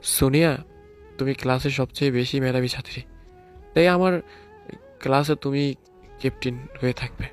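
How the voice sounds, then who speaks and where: A man's voice: medium pitch, steady tone, normal speed, pauses often, lightly acted. A man playing a reserved, proper teacher in a scripted audio drama. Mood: earnest, defensive. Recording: music behind the voice.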